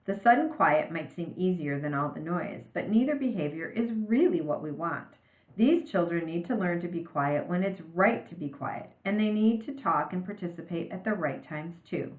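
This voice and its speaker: A woman voicing a decisive, stern female school principal in a storybook, speaking with measured authority. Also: fairly low pitch, expressive, echoey room, phone-quality sound, lightly acted. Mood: firm.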